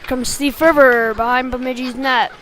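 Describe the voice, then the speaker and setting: High-voiced woman calling youth hockey. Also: steady tone, moderate pace, fairly bright tone. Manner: short clipped phrases, casual and colloquial. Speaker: play-by-play commentator. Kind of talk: informal live youth ice hockey commentary. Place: sports venue, ice rink. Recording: amateur livestream with faint background noise.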